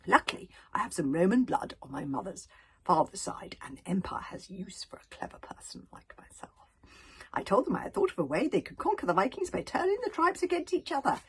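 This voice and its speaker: A woman's voice: mid-range voice, very animated, brisk, husky, whispered, full character voice. A woman voicing a thin, sneering male storybook villain, vengeful and nasty, with a hissing, menacing drawl. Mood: savage bitterness.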